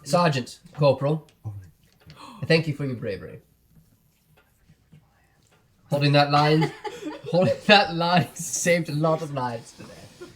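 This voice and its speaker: A young man narrating a tabletop game as game master, lively and quick to laugh. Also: fairly high pitch.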